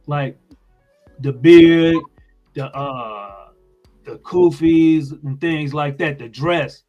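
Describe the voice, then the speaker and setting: A man's voice: fairly high pitch, very slow, muffled recording, faint music behind. An American man speaking as a guest in an interview, explaining things in a plain-spoken, informal way. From United States.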